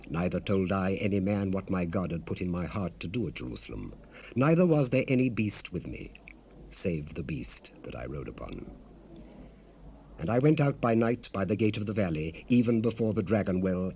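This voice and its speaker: Deep, solemn biblical cupbearer on cassette. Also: male voice, warm tone. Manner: solemn, fervent, measured, formal archaic delivery. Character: devout, grieving Old Testament royal cupbearer, earnest and humble. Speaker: actor in a dramatized Bible recording. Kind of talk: dramatized scripture reading, 1970s audio drama. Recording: noisy unprocessed cassette rip.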